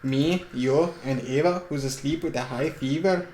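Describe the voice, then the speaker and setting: A woman voicing a grave, composed gentleman with formal, measured speech and a German accent, in an amateur dramatic reading. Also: low-pitched, close to an everyday voice. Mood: grave, restrained. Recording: echoey room, faint noise.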